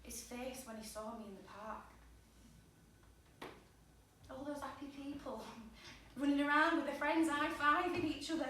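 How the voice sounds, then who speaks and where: Stage monologue: actress plays wounded, sweary young woman, candid and joking, recorded from far off with clear background noise. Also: high voice, fairly even intonation, measured pace, long pauses. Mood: envious, self-mocking. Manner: candid, blunt, swinging between joking and hurt. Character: wounded, sweary, self-deprecating young woman venting about an ex. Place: small pub theatre stage. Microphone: distant camera or audience microphone. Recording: echoey room from far off, noisy.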